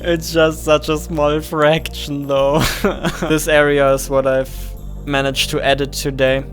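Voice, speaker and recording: A man's voice: on the high side, level tone, unhurried. A man hosting an indie game devlog in a casual, informal way with self-deprecating humor. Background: background music.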